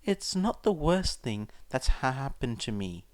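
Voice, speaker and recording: A man's voice: mid-range voice, very animated, measured pace, no room sound. A man voicing a nervous, meek schoolboy who stammers and hesitates, in an audiobook reading. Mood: meek, reassuring. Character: nervous, meek, eager-to-please schoolboy tutor. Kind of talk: audiobook character voice.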